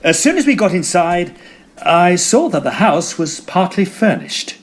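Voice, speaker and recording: A man's voice: high voice, very animated, measured pace, slightly rough, exaggerated voice. A man playing an eager, inquisitive Edwardian ghost hunter in an audio drama, with theatrical, formal diction. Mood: storytelling. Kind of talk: audio drama narration and dialogue.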